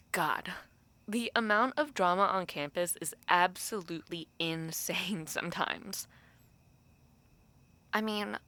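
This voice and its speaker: A woman's voice: lowish voice, pushed and bright, dry recording, full character voice. A young woman playing a gossipy, teasing university dormmate and close friend, chatting casually to the listener in an audio roleplay. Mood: musing. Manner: conversational, gossipy, pausing with filler words.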